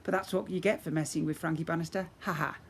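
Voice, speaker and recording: A man's voice: fairly high pitch, steady tone, quick pace. Man reading a storybook, noisy background. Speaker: teacher reading a storybook to young children. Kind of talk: expressive storybook narration. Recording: clear background noise.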